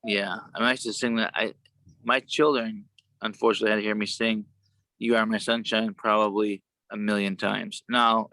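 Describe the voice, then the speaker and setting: A man chatting casually on a video call, with a worn, sometimes gravelly voice and a halting, rambling way of talking. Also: on the low side, pushed and bright, no room sound, poor audio. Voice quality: gravelly at times. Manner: rambling, halting, many restarts. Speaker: show co-host.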